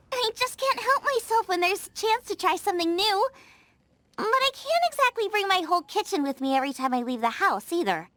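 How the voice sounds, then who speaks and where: A woman voicing a bubbly young female chef in a video game dub, eager, food-obsessed and quick to get excited. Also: very high-pitched, heavily acted. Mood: enthusiastic. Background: soft game music.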